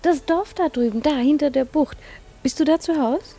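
A woman's voice: high voice, normal speed, dark tone. An actress playing a playful, tender young woman in love, teasing and intimate in a 1950s radio play. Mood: tender, dreamy. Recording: 1950s radio recording, faint music behind.

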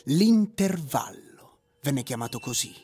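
A man's voice: medium pitch, sing-song, slow, dark tone. A male actor playing a languid, ironic plant-shop owner who narrates his memories theatrically in a radio drama. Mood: wistful narrating. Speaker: narrator and lead character.

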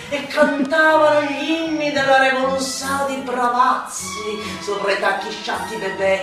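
A woman delivering a satirical radio monologue as a stage actress, declamatory and mocking, with drawn-out emphatic words and exaggerated swoops. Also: on the high side. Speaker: stage actress reciting a literary text. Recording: very echoey, distant from the mic.

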